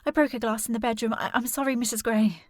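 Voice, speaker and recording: An actress plays a well-mannered young lady of a period audio drama. Her voice sits fairly high and is recorded very dry. She speaks formally and earnestly, with determination under the politeness. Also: fairly even intonation, quick pace, dry recording, close to an everyday voice. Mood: shaken, apologetic.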